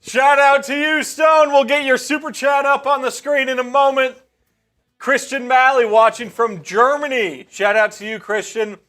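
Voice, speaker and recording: Man, fairly high voice, upbeat, reading viewer shout-outs, casual stream co-host. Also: steady tone, normal speed. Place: sports media studio.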